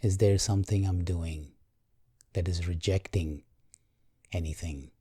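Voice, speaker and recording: A man guiding a meditation in a calm, gentle, measured way, leaving long pauses. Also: deep voice, husky, no echo, clean studio sound. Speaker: meditation guide. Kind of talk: guided meditation, slow and soothing.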